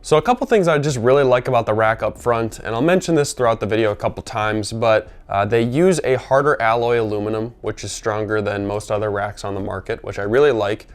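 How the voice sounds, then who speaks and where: A man with a gravelly voice, sick and under the weather, chatting casually in a product review vlog. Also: on the low side, brisk, few pauses. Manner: chatty, rambling with fillers. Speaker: vehicle gear reviewer.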